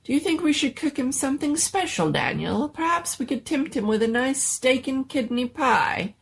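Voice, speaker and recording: Woman putting on an excitable, high-pitched little mad doctor. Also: normal speed, vocal fry, a little hiss or hum. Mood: proud, gushing. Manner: exclamatory, fussy, gushing. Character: excitable little male doctor, doting proud mad scientist. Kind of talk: dramatic reading of a horror-comedy story.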